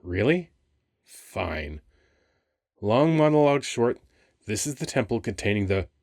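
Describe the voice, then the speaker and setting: A man playing a flirty, wry, weary sphinx who guards a temple, talking casually and haltingly in an ASMR roleplay. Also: lowish voice, very animated, a little mellow, no room sound, studio quality, full character voice. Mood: wry, bored.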